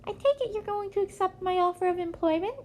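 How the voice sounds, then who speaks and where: A woman voicing a small, cheerful male principal in an amateur audio story, polite, brisk and emphatic, with music underneath. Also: extremely high pitch, darkish tone, slight background noise, voice pushed up.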